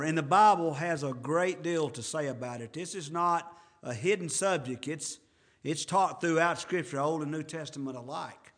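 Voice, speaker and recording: A man's voice: fairly high pitch, a bit bright. A man in his fifties preaching a sermon in an earnest, conversational way with folksy asides. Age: 50s. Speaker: pastor.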